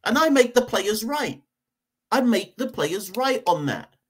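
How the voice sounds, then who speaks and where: A man's voice: high-pitched, sing-song, unhurried, husky. A man from Jamaica, hosting a cricket podcast and giving a heated opinion rant, hammering points home by repeating them. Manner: emphatic, repeats points for stress.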